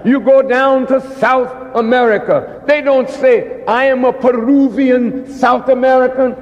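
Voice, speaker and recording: Slow, very high-pitched man orating in a hall. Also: fairly even intonation. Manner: deliberate, emphatic, repetitive phrasing with rhetorical questions. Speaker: preacher and orator. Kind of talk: public lecture, oratory to an audience. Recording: dull, reverberant hall recording.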